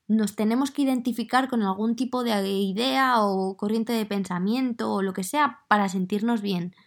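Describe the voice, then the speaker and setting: A woman from Madrid with a slightly softened Madrid Spanish accent, hosting a casual solo podcast in a chatty way that speaks straight to listeners. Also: medium pitch. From Madrid, Spain. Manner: chatty, conversational, addresses listeners directly. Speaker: podcast host.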